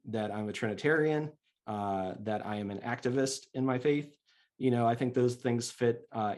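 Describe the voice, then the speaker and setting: A man giving an informal talk as a guest speaker, hesitant, with many restarts and fillers. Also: mid-range voice. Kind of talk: informal presentation. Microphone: video call.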